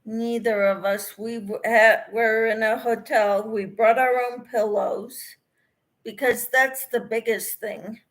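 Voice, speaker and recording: A woman's voice: a little high, slow pace. A middle-aged woman casually chatting with viewers on a livestream, reading their comments aloud and laughing often. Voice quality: speaks in a monotone, as her husband says.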